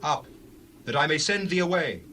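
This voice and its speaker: A man playing an aged, authoritative Hebrew prophet in a dramatized scripture reading, speaking in solemn, deliberate pronouncements. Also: on the high side, lively intonation, sharp tone, slight background noise. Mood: commanding.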